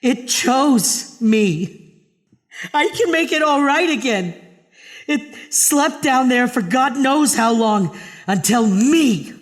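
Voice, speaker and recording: A man's voice: very high voice, lively intonation, slow, heavily acted. Actor as desperate haunted man in cavernous reverb. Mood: obsessed. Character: desperate, obsessed man bound to a monster. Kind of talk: horror radio drama acting. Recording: long hall-like reverb.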